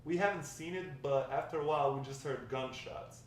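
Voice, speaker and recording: A man's voice: fairly high pitch, brisk, lightly acted. A man reading the part of a wry old grandfather telling stories of a drug-dealing past at a script table read, with some stumbles. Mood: recounting. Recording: distant, very echoey room, faint noise.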